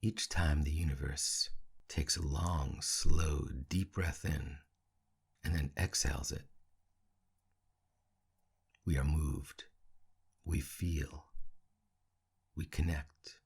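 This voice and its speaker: Male meditation guide: very raspy, breathy and creaky, hushed delivery in short, spaced-out phrases. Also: very deep voice, fairly even intonation. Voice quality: gravelly, husky. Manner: hushed, soothing, with long pauses between short phrases. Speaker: meditation teacher.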